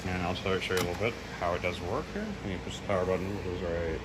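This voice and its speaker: Low-voiced man, noisy casual demo. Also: fairly even intonation, fast. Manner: hesitant, loose, admits gaps in knowledge. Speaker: presenter demonstrating audio equipment. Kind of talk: casual unscripted product demo. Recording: clearly noisy home recording.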